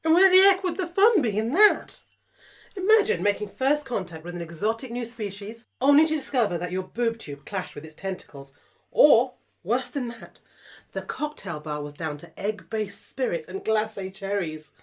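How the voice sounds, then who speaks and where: A woman's voice: mid-range voice, big pitch swings, moderate pace. A British woman playing a rich, eccentric, flippant woman adventurer in an audio drama, posh and theatrical. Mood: grandly enthusiastic. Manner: flippant, theatrical, genteel endearments.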